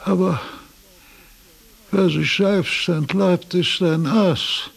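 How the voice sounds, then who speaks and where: A man's voice: sits high, steady tone, very slow, gravelly, breathy edge, full character voice. A man playing a frail, confused but imperious old philosopher in a radio drama, pedantic as if dictating. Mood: weary, bitter. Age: older.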